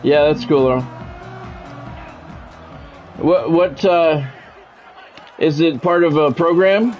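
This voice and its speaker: A man's voice: fairly high pitch, takes it slowly, dull audio, soft background music. A middle-aged American man doing play-by-play of a football video game on a livestream, mixing polished, clipped announcer calls with casual chat to viewers.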